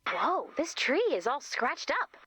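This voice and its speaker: A woman voicing a perky, flustered, quirky young heroine in an expressive, comically dramatic video game style. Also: high voice, takes it slowly, no echo, clean studio sound, exaggerated voice. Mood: flustered, bluffing. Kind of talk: animated video game voice acting.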